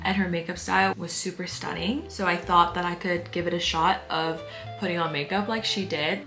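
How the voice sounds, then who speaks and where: A woman, talking softly and reflectively through a get-ready-with-me vlog voice-over. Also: fairly low pitch, creaky, reverberant. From United States. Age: 20s. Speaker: beauty vlogger.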